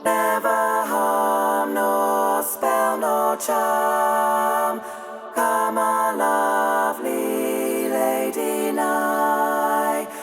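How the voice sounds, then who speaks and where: A woman playing a gentle attendant fairy, singing a soothing lullaby in a radio play. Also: very high-pitched, extremely slow, long pauses, soft background music, full character voice.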